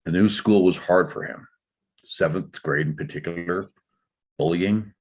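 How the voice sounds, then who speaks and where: A male actor plays an older father with a very deep, husky voice on a slightly dull recording. He is reserved and defensive, explaining himself in measured phrases in a drama. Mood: regretful. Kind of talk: scripted drama table read. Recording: dull, slightly muffled microphone sound.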